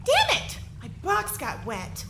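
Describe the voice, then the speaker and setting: A woman's voice: very high-pitched, wildly swooping pitch, slow, gravelly, bright, forceful tone, a little hiss or hum, full character voice. A woman playing a grumpy, irritable female pony who grumbles in an audio drama. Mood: irritated.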